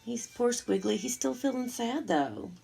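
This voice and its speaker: A woman reading a picture book aloud to young children, with expressive, simple asides as she goes. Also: on the high side, a little slow, vocal fry, soft background music, low-quality recording. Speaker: storytime reader for young children.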